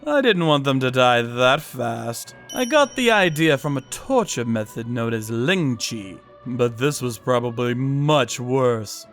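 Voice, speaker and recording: Slow, cold-voiced man narrating. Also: mid-range voice, expressive, a bit bright. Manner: cold, sadistic, unhurried. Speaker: narrator and torturer character. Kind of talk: manga dub narration. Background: faint music.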